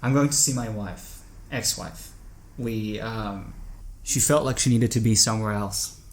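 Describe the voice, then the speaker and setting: A man acting in an audio drama as a lonely, heartsick driver lost at night, speaking in a halting, questioning way. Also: on the low side. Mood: wistful, uneasy.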